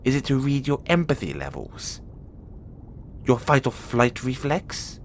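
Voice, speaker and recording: A man's voice: medium pitch, big pitch swings, a little slow, a little hiss or hum. A man playing a huge, imprisoned experiment in an audio roleplay, cocky and bitter, teasing and volatile. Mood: teasing, probing. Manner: teasing, swaggering, swinging from soft murmurs to loud outbursts. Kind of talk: intimate audio roleplay monologue addressed to the listener.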